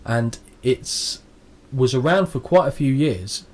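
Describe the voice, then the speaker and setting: A man's voice: mid-range voice, lively intonation, slow pace, darkish tone. A man co-hosting a podcast, reading out match notes in a casual play-by-play recap with a dry, crude sense of humour. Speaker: podcast co-host.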